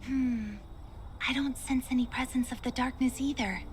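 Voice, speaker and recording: Actress as gentle troubled female doctor in a game: higher voice, breathy, hesitant, faint noise behind. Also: fairly even intonation, normal speed, lightly acted. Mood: gentle, composed. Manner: soft, breathy, hesitant and thoughtful. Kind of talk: scripted video game dialogue.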